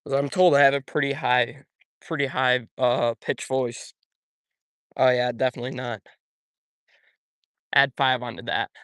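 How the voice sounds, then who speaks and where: Young guy rambling to his stream while fishing, regular pitch, dry sound. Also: fairly even intonation, unhurried, pauses often, fairly bright tone, smooth, no room sound. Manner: casual, rambling, answers viewer chat. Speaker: fishing YouTuber. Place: outdoors by a pond.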